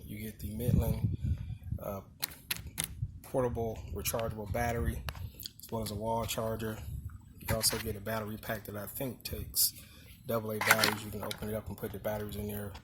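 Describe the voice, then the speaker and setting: Low, even-voiced man with background noise. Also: brisk, pauses often. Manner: informal, unscripted explaining. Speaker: motorcycle vlogger showing his gear. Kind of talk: casual product walkthrough. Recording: handheld video with clear background noise.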